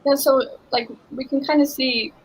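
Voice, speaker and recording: A woman's voice: high-pitched, steady tone, moderate pace, slightly rough, poor audio. A woman co-hosting a trading livestream, chatting casually and saying like a lot. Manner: casual, heavy use of "like". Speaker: livestream co-host.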